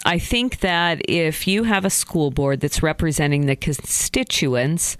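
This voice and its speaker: A school board member talking in a casual interview, earnest and quick to laugh, with many small restarts. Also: medium pitch, animated, a bit bright, no room sound.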